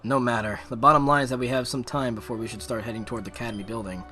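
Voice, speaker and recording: A man's voice: medium pitch, steady tone, racing, pushed and bright, soft background music, voice pushed down, lightly acted. Guy doing a stern serious student boy from a visual novel, formal, reserved. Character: serious, stern young male academy student.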